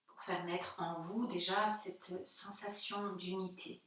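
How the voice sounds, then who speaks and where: A woman teaching yoga, guiding a meditation in a gentle, soothing manner with pauses. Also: lowish voice, flat delivery. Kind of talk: guided yoga nidra meditation instruction. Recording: very echoey room, distant mic.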